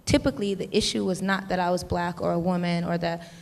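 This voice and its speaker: American woman panelist, earnest and rambling, gravel in the voice, recorded in an echoing hall. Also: fairly low pitch, fairly even intonation, fast talker. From United States. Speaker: panelist, music educator. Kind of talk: reflective panel discussion. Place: convention hall stage. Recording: long reverb of a large hall.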